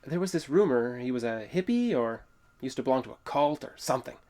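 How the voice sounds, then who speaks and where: A man's voice: mid-range voice, animated, a little fast, a little mellow, lightly acted. An actor playing an earnest, touchy man exploring an old building, stammering a little in naturalistic audio drama dialogue. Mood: earnest storytelling. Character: earnest, touchy male urban explorer telling local legends. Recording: echoey hollow room sound.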